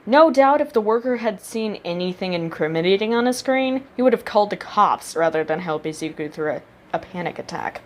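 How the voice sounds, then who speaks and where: A woman's voice: medium pitch, expressive, fairly quick, few pauses, a little hiss or hum. A woman reading a story aloud as a narrator, voicing the character's thoughts expressively. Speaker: amateur audiobook narrator reading fan fiction.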